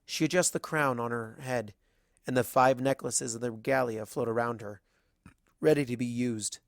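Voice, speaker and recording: A narrator reading fan fiction aloud as expressive scripted story narration. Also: mid-range voice, moderate pace, no echo, studio quality.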